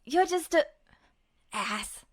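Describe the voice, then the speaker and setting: A woman's voice: high voice, lively intonation, slow, dry recording, clean studio sound, voice pushed up. A woman voicing a brash, hot-tempered young man, blurting his words emphatically in an audiobook. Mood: indignant. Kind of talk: audiobook character voice.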